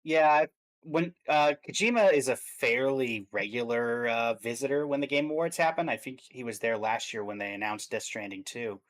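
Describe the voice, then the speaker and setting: A man's voice: medium pitch, moderate pace, fairly bright tone. A man co-hosting a stream, chatting casually about video games and thinking aloud as he goes. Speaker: stream co-host.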